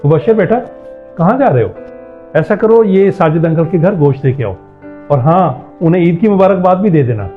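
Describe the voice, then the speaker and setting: A man's voice: high-pitched, lively intonation, phone-quality sound, soft background music. A man playing a strict, principled middle-aged father in a moral-story drama, speaking in an emphatic, lecturing way. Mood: firm, instructing. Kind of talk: Urdu moral-story audio drama acting.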